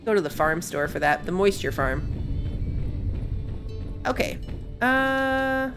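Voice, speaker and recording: Female let's play host, relaxed slow pace with lively intonation, casually talking through gameplay over music. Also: mid-range voice. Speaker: gaming video host. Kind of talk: casual let's play commentary, thinking aloud. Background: game music.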